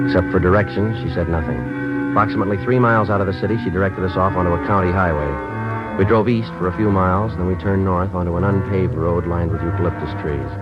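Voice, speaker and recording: An old muffled radio recording of an actor as a stoic male police detective with a very low voice. He narrates the crime drama in a terse, deadpan way. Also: close to an everyday voice. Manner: deadpan, terse, matter-of-fact. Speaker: police sergeant narrator and lead character. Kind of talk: 1950s radio police drama, clipped first-person narration.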